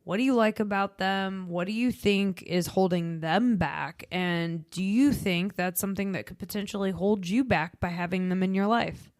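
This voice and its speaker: A woman's voice: mid-range voice, normal speed, dry recording, clean studio sound. A woman in her thirties with a Chicago accent, co-hosting a podcast in casual, candid conversation. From Midwestern United States. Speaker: podcast co-host.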